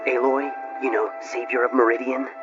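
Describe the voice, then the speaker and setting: A man's voice: mid-range voice, level tone, moderate pace, close to an everyday voice. An actor playing a plain-spoken, helpful male guard in a video game, casual and matter-of-fact. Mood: patient. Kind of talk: video game cutscene acting. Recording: muffled game capture with music.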